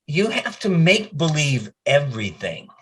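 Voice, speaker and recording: A man's voice: on the high side, very animated, measured pace, fairly bright tone, slightly rough. A man speaking as a voice actor being interviewed, chatting casually and telling stories with quoted bits of conversation.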